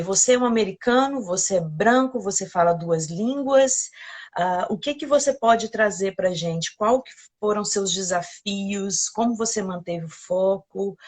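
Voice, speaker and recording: A woman's voice: medium pitch, normal speed. Enthusiastic woman hosting a live interview. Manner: enthusiastic, encouraging, laughs often. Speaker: host.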